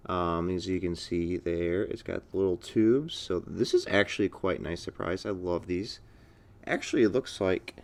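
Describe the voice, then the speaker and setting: Very deep-voiced young-sounding casual American man. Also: creaky, a little mellow. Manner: enthusiastic, informal, rambling. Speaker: fireworks channel host. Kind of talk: casual unboxing commentary.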